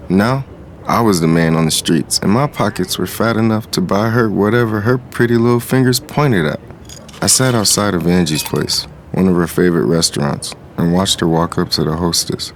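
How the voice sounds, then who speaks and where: A man's voice: sits low, a little hiss or hum. A man playing a smitten street hustler, narrating his story in the first person with confident reflection.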